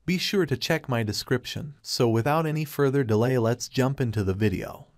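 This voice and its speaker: A man's voice: mid-range voice, normal speed. A man narrating a scripted product review voice-over, reading evenly from a script.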